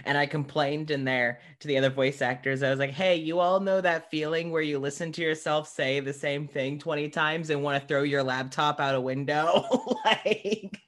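A man's voice: a little high, moderate pace, few pauses, fairly bright tone. A man hosting a podcast, chatting casually with a wry, self-deprecating manner. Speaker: podcast host. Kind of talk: casual interview chat.

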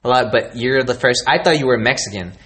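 A man's voice: medium pitch, fast talker, fairly bright tone. A man interviewing someone in a casual conversation, rambling and often trailing off mid-thought.

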